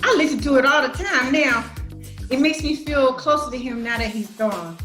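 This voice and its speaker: A brash, defensive woman in a family stage drama , acted by a woman. Her voice is high and swings widely as she sasses and snaps in slang. It is recorded in an echoey room. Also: animated, moderate pace, fairly bright tone, soft background music. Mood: sassy, nostalgic. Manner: sassy, combative, slangy. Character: brash, defensive, resentful grown sister from Detroit, quick to snap. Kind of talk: dramatic play reading.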